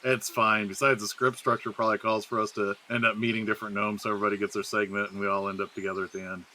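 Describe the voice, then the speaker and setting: Bright-voiced man in a comedy skit. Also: fairly low pitch, level tone, very fast, a little hiss or hum. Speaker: podcast regular playing himself in a comedy skit. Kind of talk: comedic audio drama acting.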